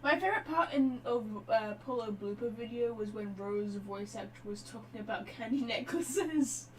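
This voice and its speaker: Higher-voiced woman streamer, blunt and sweary. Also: moderate pace, a little hiss or hum, rough recording. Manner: informal, sweary, playfully blunt. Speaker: game streamer. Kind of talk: casual live gaming reaction chat.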